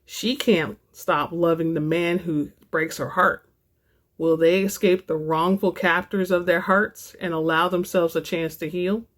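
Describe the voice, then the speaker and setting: Low-voiced woman, book channel host. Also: steady tone, measured pace. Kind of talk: dramatic reading and casual chat to viewers.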